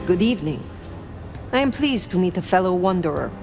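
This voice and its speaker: An actress playing a cool, formal female vampire sheriff in a video game, an ancient wanderer who speaks in measured, cutting phrases. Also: fairly low pitch, expressive. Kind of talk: video game character dialogue. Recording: muffled, phone-like game audio under music.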